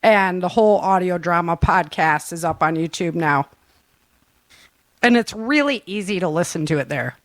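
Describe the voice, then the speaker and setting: A woman hosting a podcast in casual chat, telling stories in an animated, giggly way. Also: fairly low pitch, normal speed, no room sound. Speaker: podcast host and audio drama creator.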